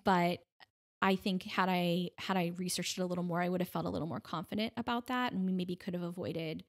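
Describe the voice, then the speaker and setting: A middle-aged woman speaking conversationally as an interview guest, telling her story in an animated way and often voicing quoted remarks. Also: fairly low pitch, fairly quick. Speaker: podcast guest, breast cancer advocate.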